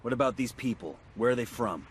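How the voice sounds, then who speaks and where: A man playing a gruff special forces team leader, terse and commanding, in a military video game. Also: mid-range voice, lively intonation, a little fast, a little hiss or hum, natural voice. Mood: threatening. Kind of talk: dramatic video game acting.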